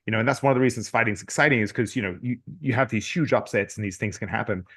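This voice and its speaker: A man's voice: on the low side, racing, fairly bright tone, slightly rough. A middle-aged man talking earnestly as a podcast guest, often restarting and repeating words. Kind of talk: casual interview talk.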